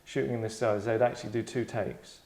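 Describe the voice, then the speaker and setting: A man's voice: on the low side, steady tone, quick pace, a little mellow. Man hosting a script read, echoey room. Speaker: writer, director and host. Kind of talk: reading stage directions and informal script discussion.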